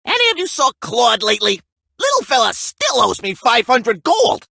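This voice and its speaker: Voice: mid-range voice, huge pitch swings, normal speed, creaky, pushed and bright, husky, exaggerated voice. An actor voicing a cheeky, money-minded young trader in a video game, brash and teasing. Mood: cocky. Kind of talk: animated video game character lines.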